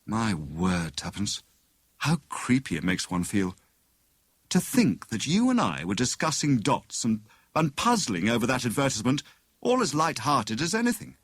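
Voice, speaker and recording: A man's voice: mid-range voice, big pitch swings, normal speed, husky, no echo, studio quality. A man voicing a genial, puzzled young husband and amateur detective, boyish and earnest. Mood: uneasy.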